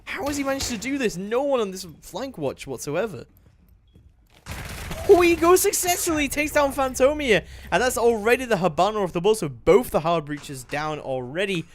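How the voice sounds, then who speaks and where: A man's voice: high-pitched, normal speed. Man commentating an esports match, noisy line. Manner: excitable, building to shouts at big plays. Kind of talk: live video game commentary.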